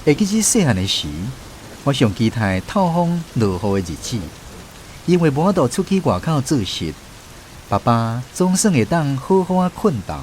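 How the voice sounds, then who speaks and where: A man with a Taiwanese accent reading scripted storybook narration for a radio drama, expressive and reminiscing. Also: medium pitch, moderate pace, a little hiss or hum. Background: music.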